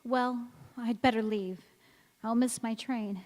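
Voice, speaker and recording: A woman playing a strange, self-possessed young woman in a radio drama, speaking earnestly and deliberately. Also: on the high side, steady tone, a little mellow. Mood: frank. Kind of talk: stage radio drama acting. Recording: echoey church hall.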